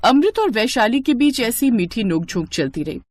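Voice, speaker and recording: A woman's voice: a little high, big pitch swings, moderate pace, a little hiss or hum. A woman narrating a scripted cartoon story as a storyteller.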